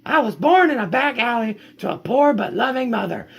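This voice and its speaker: A man reading a storybook aloud and voicing a talkative female dog who never stops chatting, in a husky, high sing-song voice. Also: animated, a little fast, heavily acted. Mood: chatty. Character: chatty talking female dog, endlessly rambling. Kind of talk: reading a picture book aloud.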